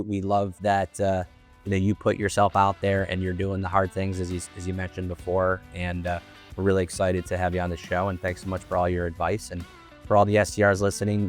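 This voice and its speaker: Chatty low-voiced man hosting. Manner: chatty, effusive, repeats himself. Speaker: podcast host. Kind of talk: conversational interview.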